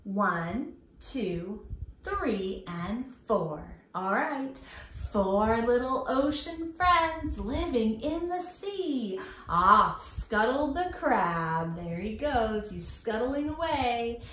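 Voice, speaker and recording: A woman in her thirties hosting a storytime for young children, encouraging and expressive, speaking to a camera a short distance away indoors. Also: a little high, drawn-out words. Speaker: children's library storytime host. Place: small indoor room, echoey. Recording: echoey room.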